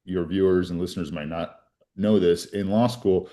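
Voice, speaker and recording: A man's voice: low-pitched, steady tone, normal speed, mellow. A man in his forties who grew up in Colombia, a criminal defense attorney telling his story in an earnest, reflective way with frequent restarts. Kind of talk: interview storytelling.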